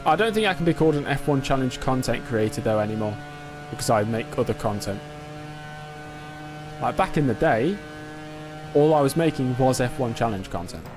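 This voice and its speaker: A young man casually commenting on his own racing game in a live stream, joking and self-mocking, quick to get excited. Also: mid-range voice, music in the background. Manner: quickly excitable under pressure.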